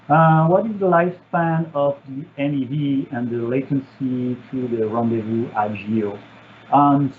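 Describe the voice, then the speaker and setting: A man from France with a French accent, an engineer answering student questions in a virtual talk, pausing often with fillers and restarts. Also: medium pitch. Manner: halting, many fillers and restarts. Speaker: satellite engineer. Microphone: video call. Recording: tinny, phone-like video call audio.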